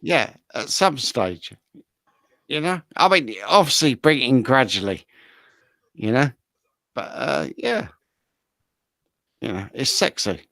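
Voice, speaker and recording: A man with a British accent telling casual, cheeky stories, often halting and repeating himself. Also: mid-range voice, animated, vocal fry, a bit bright, dry recording. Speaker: talk show regular. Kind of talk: casual bawdy storytelling.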